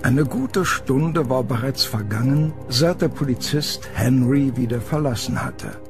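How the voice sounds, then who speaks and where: A man narrates a horror story with slow, suspenseful delivery. His voice is creaky but varied in pitch, with music underneath. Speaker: narrator of a horror radio play.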